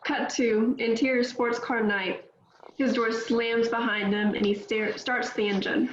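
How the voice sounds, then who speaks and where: A woman with a somewhat high voice reads stage directions in a plain, measured way. The recording is roomy and a bit dull, with a faint hiss underneath. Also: fairly even intonation. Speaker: narrator reading stage directions. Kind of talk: table read narration.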